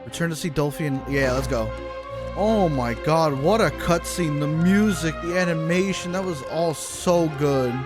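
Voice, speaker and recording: A man's voice: a little high, moderate pace. A man giving casual, exclamatory live commentary on a game, swearing freely. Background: game music.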